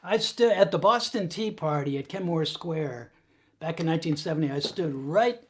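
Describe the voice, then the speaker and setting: A man's voice: on the high side, animated, normal speed. A man in his sixties co-hosting a music show, reminiscing eagerly in loose casual talk full of "like" and "you know". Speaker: co-host and guitarist.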